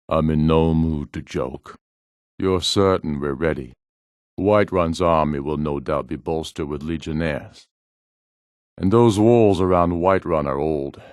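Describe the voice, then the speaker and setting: A proud Nordic warlord for a fantasy video game, with an extremely deep voice. He speaks like a ruler addressing his warriors, solemn, measured and imperious. The sound is close and dry. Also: darkish tone, no echo. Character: proud, commanding rebel warlord, a middle-aged Nordic jarl.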